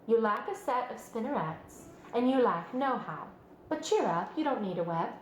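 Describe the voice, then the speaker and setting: Woman playing a storybook spider, female, clever, patient, precise, assured, raised lively pitch, roomy recording. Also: normal speed, slight background noise, natural voice. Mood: kindly, frank. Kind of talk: children's storybook read-aloud. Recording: roomy sound, music behind.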